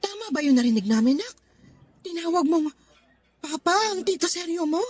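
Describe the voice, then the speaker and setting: A woman playing a doting, emotional middle-aged mother in a radio drama, expressive, with a Filipino accent. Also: very high-pitched, normal speed, no room sound. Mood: surprised. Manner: expressive, affectionate. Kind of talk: radio drama acting in Tagalog. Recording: music bed behind the voice.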